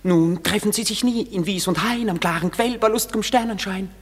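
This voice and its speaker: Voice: mid-range voice, brisk, breathy edge, long reverb tail, close to an everyday voice. A performer playing a mischievous sprite of the fairy king in a classic radio play, declaiming verse.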